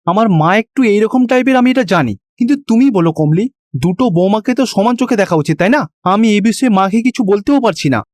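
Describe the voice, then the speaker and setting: A man's voice: high voice, lively intonation, a little fast, a little mellow, no echo, clean studio sound. A man voicing an earnest, protective young husband in a cartoon. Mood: troubled. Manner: pleading, earnest.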